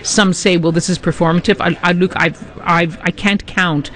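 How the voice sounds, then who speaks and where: A man giving live news analysis as a correspondent, measured and fluent, with frequent restarts. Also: high voice, steady tone, sharp tone.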